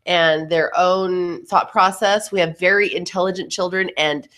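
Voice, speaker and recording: A middle-aged woman co-hosting a podcast, chatting informally and emphatically, her voice lowered by allergies. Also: steady tone, measured pace, fairly bright tone. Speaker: podcast co-host. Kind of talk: casual chat.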